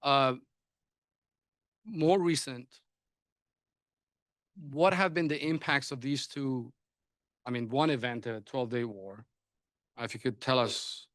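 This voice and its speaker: A man's voice: medium pitch, slow, long pauses, fairly bright tone, no echo. A man whose first language is Persian, moderating a panel discussion, often hesitating and restarting his sentences. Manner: hesitant, many restarts and fillers.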